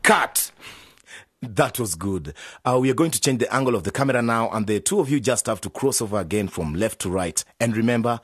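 A busy film director in a radio drama, kinda patronising, lively up and down, rough edge to the voice. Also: male voice, lowish voice, fairly quick, dry recording. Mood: commanding.

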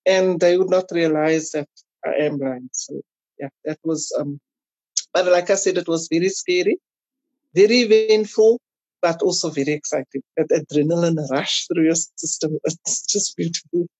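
A South African woman chatting casually as an interview guest, telling personal stories in an animated way. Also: fairly low pitch, normal speed, breathy edge, no echo, poor audio. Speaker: podcast guest.

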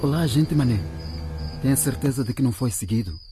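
A man's voice: medium pitch, lively intonation, fast, over music, close to an everyday voice. A man playing a scheming, corrupt politician in a radio drama, furtive and bossy in manner. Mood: wary, commanding. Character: scheming, self-protective corrupt male politician, a councillor.

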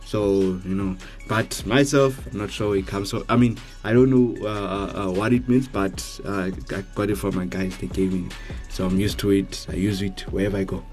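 Rambling South African radio co-host. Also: male voice, fairly low pitch, fairly even intonation, a little mellow, faint music behind. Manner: rambling, halting, many fillers and restarts. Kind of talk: casual radio chat.

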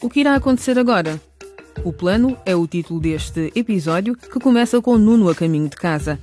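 A woman's voice: medium pitch, animated, fairly quick, darkish tone. A woman narrating a radio drama from a script. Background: music.

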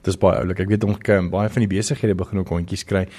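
Chatty South African radio host, low mellow voice. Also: male voice, steady tone, quick pace, husky. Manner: chatty, rambling with many fillers. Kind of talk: bilingual radio talk show hosting. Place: radio studio.

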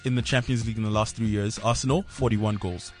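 A man co-hosting a comedy football podcast, talking in boisterous, animated banter and laughing loudly. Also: on the low side, fast, soft background music. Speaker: podcast co-host.

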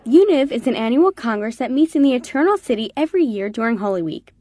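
A woman's voice: on the high side, very animated, fast talker, warm tone. A woman reporter reading a scripted news piece in a polished way.

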